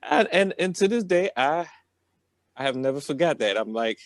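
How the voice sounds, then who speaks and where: A man's voice: a little high, animated, moderate pace, background noise. A man telling a story on a podcast in a casual, rambling, slangy way. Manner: colloquial, slangy.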